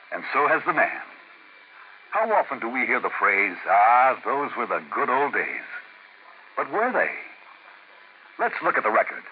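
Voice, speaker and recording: Man announcing on tinny old radio. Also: medium pitch. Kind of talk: scripted radio commentary. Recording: tinny old radio broadcast with faint noise.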